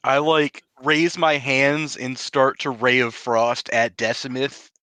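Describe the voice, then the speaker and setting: Guy playing a tabletop rpg, casual banter, bright voice, really dry close sound. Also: medium pitch, little pitch movement, slow, clean tone, no room sound. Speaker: tabletop role-playing player.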